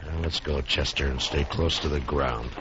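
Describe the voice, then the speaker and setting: Casting: commanding, weary western lawman, deep, for vintage radio drama with dull old sound. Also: fairly even intonation, moderate pace. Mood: grave, subdued. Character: stern, weary frontier marshal, commanding. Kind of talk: 1950s radio western acting. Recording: dull, faintly noisy 1950s radio recording.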